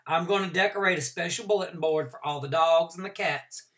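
A woman voicing a brisk, firm but kind female schoolteacher making clear classroom announcements. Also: fairly low pitch, fairly bright tone, voice pushed up, natural voice. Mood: patient firm.

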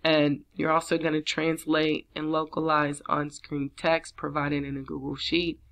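A woman with a slightly raspy voice from a cold, chatting casually as she walks viewers through a job listing. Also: deep voice, monotone, measured pace, a bit bright. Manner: chatty, repetitive, encouraging viewers. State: under the weather, slightly raspy. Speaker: work-from-home job channel host. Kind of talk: casual screen-share walkthrough.

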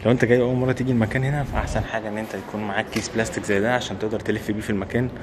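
Casting: Egyptian-accented male travel vlogger, twenties, very quick delivery, slightly low pitch, casual and emphatic. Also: level tone, noisy background. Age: 20s. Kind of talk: casual vlog chat to camera. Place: old stone mosque, arcades and open rooftop. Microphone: camera held at arm's length.

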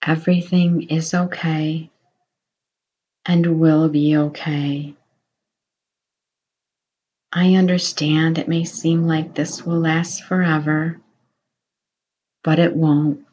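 Very slow, low-voiced woman meditation guide. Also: flat delivery, muffled recording. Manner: slow, soothing, gentle reassurance.

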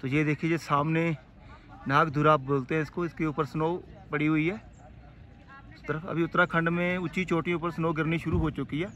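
A man's voice: fairly high pitch, little pitch movement, normal speed, sharp tone. A man casually narrating a travel vlog outdoors on a mountain roadside, talking to viewers as friends. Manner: easygoing, addresses viewers as friends. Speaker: travel vlogger.

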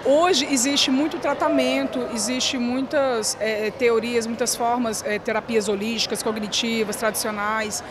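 A middle-aged Brazilian woman giving halting interview answers into a reporter's handheld microphone. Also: a little high, fairly even intonation. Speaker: guest speaker being interviewed. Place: large indoor hall. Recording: noisy hall with clear background noise.

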